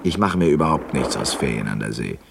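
An actor playing a dry, grumbling writer who narrates a vintage radio crime drama. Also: male voice, very deep voice, expressive, brisk, vocal fry, noisy background, heavily acted. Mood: wry narration.

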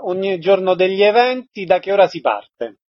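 A man hosts a radio interview in a fairly high, husky voice. The recording is dry and a little lo-fi. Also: animated, moderate pace, dry recording. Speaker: radio host interviewing a guest. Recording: dry, band-limited, slightly dull audio.

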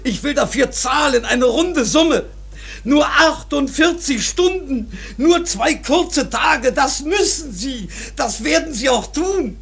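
Desperate captain and father, very high strained voice, gravelly, insistent, imploring, radio drama. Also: expressive, takes it slowly, fairly bright tone, full character voice. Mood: desperate pleading. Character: desperate ship captain, a father pleading for help. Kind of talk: German radio drama acting.

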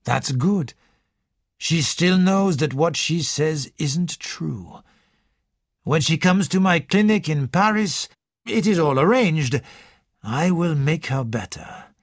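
A woman's voice: low voice, expressive, slow, dry recording, studio quality, exaggerated voice. A woman narrator playing a confident, kindly male doctor with a matter-of-fact manner in an audiobook. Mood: assured, satisfied. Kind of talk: audiobook character dialogue.

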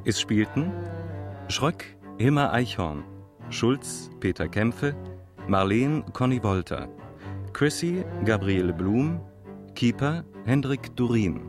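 Measured male announcer over string music. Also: medium pitch, very animated. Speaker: announcer reading radio play credits. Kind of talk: scripted credit announcement. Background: bowed string music, cello.

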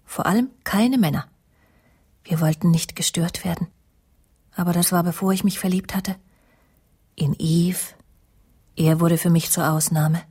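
An actress playing a bitter middle-aged woman who confides in a measured, often murmuring voice in a German radio crime drama. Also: lowish voice, a little mellow, slightly rough. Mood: confiding, bitter. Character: bitter middle-aged woman narrating her jealous confession.

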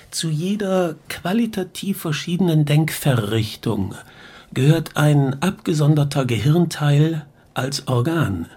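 A man's voice: on the high side, darkish tone, breathy edge, exaggerated voice. An actor playing a pedantic male professor who delivers a formal lecture in a German radio play.

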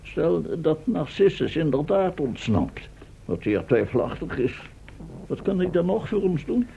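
An actor in a Dutch radio play playing a fussy, gloomy professor with a formal, sometimes stammering manner. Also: male voice, on the high side, very animated, a little slow, vocal fry, breathy edge, heavily acted. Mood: indignant. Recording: dull lo-fi old radio recording.

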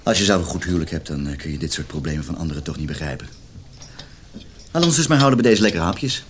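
A man's voice: low voice, expressive, quick pace, a little hiss or hum. An actor playing a suave, sardonic married salesman who flirts and teases in a radio play. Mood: teasing, provocative. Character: flirtatious, cynical married salesman named John. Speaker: sales manager character.

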